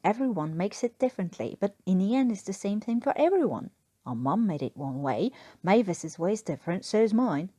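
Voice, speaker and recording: A woman's voice: mid-range voice, lively intonation, fairly quick, dark tone, dry recording, close to an everyday voice. A woman voicing a cheeky, sickly Cockney man in a story, with teasing, rambling informal talk. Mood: drowsy, musing. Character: cheeky, sickly Cockney Englishman, a wartime airman. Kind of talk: storybook character voice.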